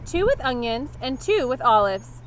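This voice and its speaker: A woman voicing a fussy, hot-tempered, orderly man in a children's storybook, exacting and quick to snap. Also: high voice, big pitch swings, slight background noise. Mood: fussy, precise. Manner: exacting, snappish, exclaiming. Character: Even Steven, fussy, hot-tempered, orderly male cousin. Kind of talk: children's storybook read-aloud.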